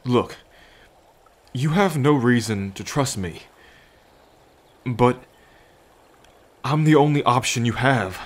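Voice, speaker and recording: A man playing a gentle, anxious lone fisherman in an ASMR roleplay, with a halting, reassuring manner. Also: mid-range voice, animated. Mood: soothing, pleading.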